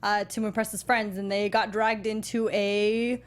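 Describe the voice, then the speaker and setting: A woman co-hosting a casual chat about films and games, relaxed and agreeable, often starting with "I mean". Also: medium pitch, a little slow. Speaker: podcast co-host.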